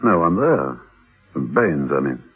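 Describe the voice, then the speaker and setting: A man playing a suave, laconic gentleman spy in a vintage radio drama. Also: very deep voice, sing-song, breathy edge, full character voice. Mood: musing, laconic. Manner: laconic, unhurried. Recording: tinny, band-limited vintage radio recording.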